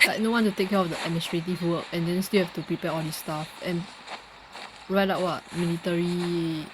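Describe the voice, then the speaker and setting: A woman giving casual gameplay commentary in a slangy, halting way. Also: lowish voice, fairly even intonation. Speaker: game streamer. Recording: noisy room, clear background noise.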